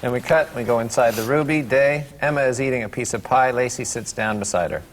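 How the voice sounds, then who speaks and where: A man's voice: medium pitch, a little fast, big-room sound. A man reading script directions aloud at a table read. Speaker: stage-direction reader.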